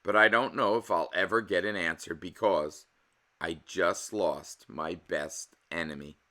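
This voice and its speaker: Man, lowish pitch, very bright forceful voice, expressive storybook read-aloud, animated emphasis. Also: measured pace. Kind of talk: expressive children's picture-book read-aloud.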